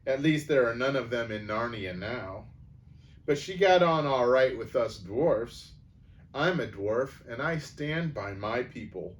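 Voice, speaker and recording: A man's voice: mid-range voice, lively intonation, a little slow, close to an everyday voice. A man voicing a bitter, hostile dwarf who speaks coldly and snaps at others in a storybook reading. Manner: cold, snapping, rising to a scream. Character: bitter, hostile male dwarf, cold and resentful.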